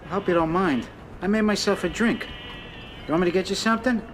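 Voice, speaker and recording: An actor playing a chatty, slightly uneasy younger mobster brother in a game cutscene. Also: male voice, high-pitched, fast talker, noisy background, lightly acted. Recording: game cutscene with music behind. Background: music.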